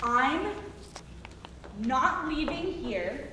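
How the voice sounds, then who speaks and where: A woman playing a resolute, defiant jailed wife in a staged reading, firm and measured. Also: high-pitched, animated, bright, forceful tone, heavily acted. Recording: distant mic, echoey room, faint noise.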